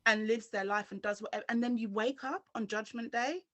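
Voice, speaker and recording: A woman's voice: a little high, brisk, a bit bright, dry recording. A woman from London giving her personal testimony as an interview guest, speaking earnestly and directly to the viewer.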